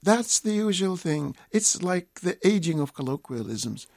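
An older man, a native Russian speaker with a Russian accent, talking deliberately and hesitantly as an expert guest in a radio interview. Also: high voice, very animated, a little mellow, no echo, clean studio sound.

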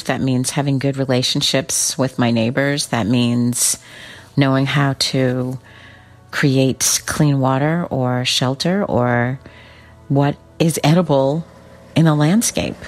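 A woman in her fifties answers a poll interviewer. Her voice is strikingly deep. She talks calmly and deliberately. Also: soft background music. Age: 50s. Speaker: poll respondent.

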